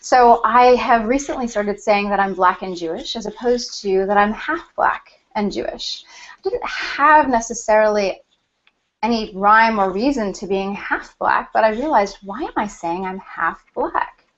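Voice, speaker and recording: A woman hosting a panel on a video call, excitable and laughing, talking in quick bursts. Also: medium pitch. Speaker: panel host. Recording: dull lo-fi video call audio.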